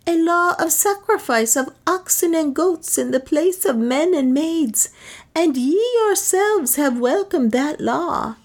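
A woman voicing a cunning old male high priest in an audiobook, preaching in solemn, drawn-out, bitterly satirical phrases. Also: full character voice. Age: older. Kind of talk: theatrical audiobook character speech.

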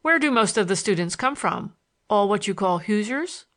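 Woman reading as a wry young gentleman, lively intonation. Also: mid-range voice, moderate pace, natural voice. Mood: wry, curious. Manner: polite, dry, questioning. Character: courteous, wry young gentleman of twenty-seven, gently condescending.

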